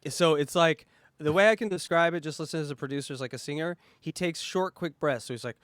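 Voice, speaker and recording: A man's voice: on the high side, quick pace, fairly bright tone, no echo. A man hosting a casual reaction podcast, talking in a loose, profane, rambling way. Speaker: podcast host.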